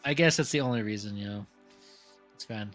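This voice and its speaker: A man's voice: lowish voice, a little fast, a bit bright, faint music behind. Playful man co-hosting a podcast. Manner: playful, jokey banter. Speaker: podcast co-host. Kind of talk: casual podcast chat.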